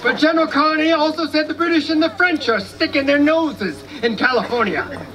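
Man acting a very high-pitched, grumbling frontier soldier. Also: moderate pace. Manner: folksy, complaining, theatrical. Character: aggrieved, folksy older American dragoon sergeant, 1840s. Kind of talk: stage reenactment monologue. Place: outdoor amphitheater in a park. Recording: distant outdoor microphone, background noise.

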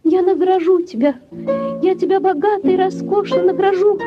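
An ethereal drowned maiden pleads in a vintage radio play. Her very high voice comes through dull old sound, with loud harp music around it. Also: moderate pace. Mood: pleading. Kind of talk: 1940s radio play acting.

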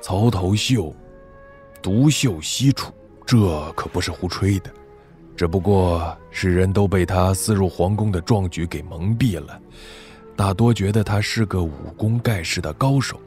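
A man's voice: low-pitched, unhurried, a little mellow, faint music behind. A man playing a shrewd, wry military strategist in a wuxia audio drama, speaking in an analytical, sardonic way. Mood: reflective, appraising. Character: shrewd, wry male military strategist weighing rival commanders.

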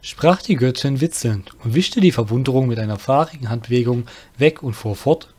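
A man's voice: medium pitch, a little fast, a little mellow. A man reading scripted fantasy narration as an audio drama narrator, breaking off to correct himself.

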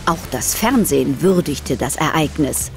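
Female narrator for kids' audio drama, lower register, scripted storytelling over clear background music. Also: animated, a little slow.

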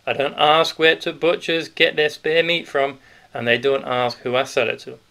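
A man's voice: on the high side, flat delivery, normal speed, vocal fry, sharp tone. A man voicing a stout, sly, cheerful male wartime grocer with a Yorkshire accent, in a knowing, conspiratorial manner. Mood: jovial.

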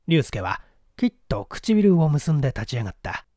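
A man's voice: on the high side, very animated, normal speed, warm tone, dry recording, clean studio sound. A man narrating a mystery story from a script as an audiobook reader.

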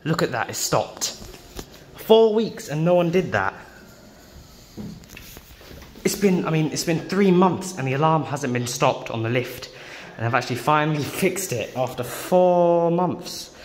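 A man's voice: fairly high pitch, expressive, normal speed. A young man giving a casual running commentary on a handheld phone inside a lift. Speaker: amateur lift vlogger. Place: lifts and lobby inside a public building. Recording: handheld phone, loud background noise, clicks.

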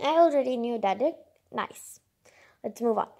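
A woman's voice: fairly high pitch, lively intonation, normal speed, creaky, darkish tone. A woman reading a children's picture book aloud, expressively and informally, with chatty asides and exclamations. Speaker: read-aloud narrator.

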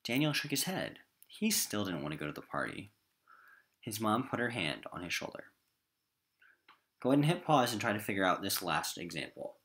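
A man's voice: lowish voice, sing-song, moderate pace, fairly bright tone. A man with an American accent teaching a recorded literature lesson in an emphatic, informal classroom style.

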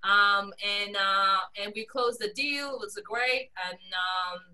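A woman in her forties, raised in Singapore, telling her career story as a guest on a video call, animated and quick to laugh. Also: recorded from a distance, low-quality recording. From Malaysia, raised in Singapore. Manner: animated storyteller, laughs often, lively pitch swings. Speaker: guest, strategy consultant. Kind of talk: casual video-call interview.

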